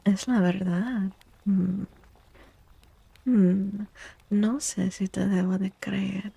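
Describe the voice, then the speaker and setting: A woman speaking softly and breathily in a gentle, unhurried near-whisper, chatting as an ASMR livestream host and often softly repeating phrases. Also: mid-range voice, fairly even intonation, no room sound. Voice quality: creaky. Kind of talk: soft-spoken ASMR livestream chat.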